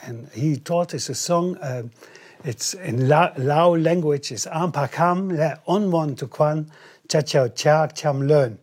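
A man with a Dutch accent telling personal stories as an interview guest, halting and repeating his words. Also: on the high side, a little mellow. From northern Netherlands. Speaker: interview guest, travel coordinator.

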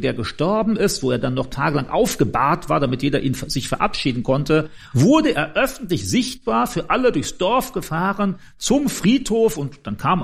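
A man's voice: fairly high pitch, creaky, slightly rough. A man preaching in an informal, conversational and explanatory way, addressing his listeners directly. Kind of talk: informal sermon.

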